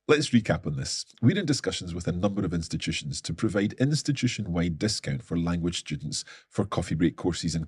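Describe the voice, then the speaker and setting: A man's voice: fairly low pitch, a little mellow, no room sound, studio quality. Upbeat man presenting announcements. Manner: upbeat, clearly enunciated presenter delivery. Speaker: host of a language-learning company event.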